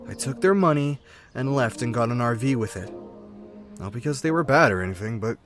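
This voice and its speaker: A man's voice: medium pitch, animated, brisk, fairly bright tone, soft background music, natural voice. A man voicing an easygoing young male rat drifter who talks in a laid-back, friendly, chatty way in a fan comic dub. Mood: persuasive.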